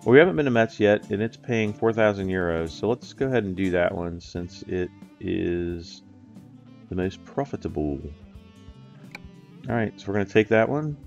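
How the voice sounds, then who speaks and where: Low, gravelly man with a stuffed-up nose. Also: lively intonation, normal speed, dull audio, faint music behind. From United States. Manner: rambling, with many ums and uhs. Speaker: let's play host. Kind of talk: casual gameplay commentary.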